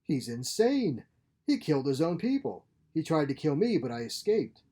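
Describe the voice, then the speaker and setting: Exiled sci-fi scientist played by a male reader: glib schemer, theatrical, husky, mellow. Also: a little high, very animated, normal speed, pitched above the performer's own voice. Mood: feigned panic.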